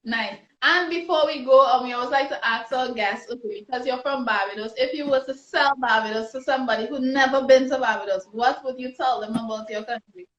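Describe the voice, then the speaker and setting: Trinidadian woman, host, fairly high voice, chatty and upbeat, roomy echo. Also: brisk, hardly any pauses. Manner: upbeat, chatty, frequent restarts and fillers. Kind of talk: live online interview chat. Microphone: phone or laptop on a video call. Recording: roomy, dull lo-fi livestream audio.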